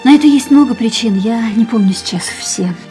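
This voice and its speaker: Radio play: actress, dying young woman, wistful, mellow, breathy, soft music bed. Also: fairly high pitch, expressive, brisk, close to an everyday voice. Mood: quiet, resolute. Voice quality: breathy, often whispered. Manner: quiet, tired, resolute. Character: frail, gravely ill young woman, stubborn, wistful. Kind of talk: studio radio drama acting.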